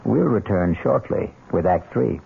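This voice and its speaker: A man narrating a mystery radio drama in a measured, deliberate, ominous manner, as the show's host. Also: lowish voice, lively intonation, creaky, heavy background noise, full character voice. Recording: tinny old radio recording, loud music.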